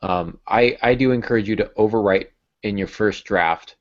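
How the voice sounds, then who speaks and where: Very low-voiced man, muffled call audio. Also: moderate pace. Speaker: podcast host and writing coach. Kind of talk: casual instructional talk. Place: small indoor home office. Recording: dull, lo-fi video call audio.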